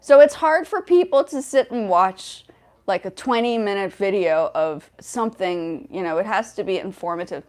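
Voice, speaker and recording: A woman's voice: medium pitch, animated, moderate pace, a little mellow. A young woman hosting a casual livestream, talking in a rambling way with many fillers and restarts. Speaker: livestream host.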